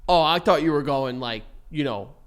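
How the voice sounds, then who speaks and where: Playful man with a lively voice. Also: on the high side, moderate pace, clean tone. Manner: playful, teasing, hyping. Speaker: podcast co-host. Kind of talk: casual crude banter.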